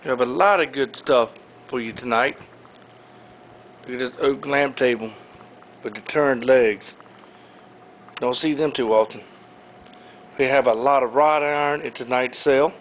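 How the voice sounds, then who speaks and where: Man listing auction items, tinny audio. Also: medium pitch. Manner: plain, unhurried item-by-item listing. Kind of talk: informal walkthrough narration. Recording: tinny phone-like audio, faint hiss.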